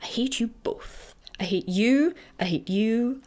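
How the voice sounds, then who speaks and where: A woman's voice: fairly high pitch, unhurried, darkish tone, lightly acted. An actress with a Scottish accent playing a scornful, gleefully sinister female interrogation officer in a comic radio play. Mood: seething contempt.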